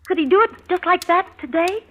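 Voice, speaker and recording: An actress playing an anxious, worn-out young wife, pleading and fretful, in an old radio drama. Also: female voice, very high-pitched, brisk, fairly bright tone. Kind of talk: 1940s radio acting. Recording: dull old radio broadcast with hiss.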